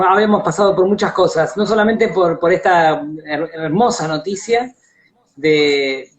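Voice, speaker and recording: A man with an Argentine accent hosting a casual live interview, appreciative and wordy, over an internet video call. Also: fairly high pitch. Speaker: interview host. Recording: dull lo-fi livestream audio.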